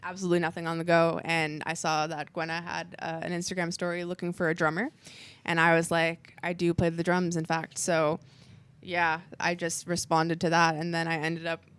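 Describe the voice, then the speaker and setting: A woman's voice: lowish voice, completely flat delivery, normal speed, fairly bright tone, clear, resonant tone. A young woman from Newfoundland, a drummer, chatting casually and wryly in a band interview. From Newfoundland, Canada. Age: young adult. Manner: chatty, wry, lots of "like".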